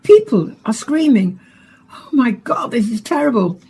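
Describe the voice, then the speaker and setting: An actress playing a dramatic, urgent female news reporter with a husky voice in a radio play. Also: on the high side, big pitch swings, measured pace, warm tone, full character voice. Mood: panicked, sobbing. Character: female field radio reporter, distressed witness of alien invasion.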